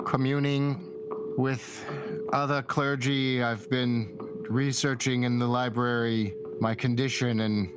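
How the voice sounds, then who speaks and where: Man as a slow, dying middle-aged clergyman. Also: medium pitch, lightly acted. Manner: halting, grave, formal. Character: dying middle-aged human clergyman, weary, earnest mentor. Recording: music behind the voice.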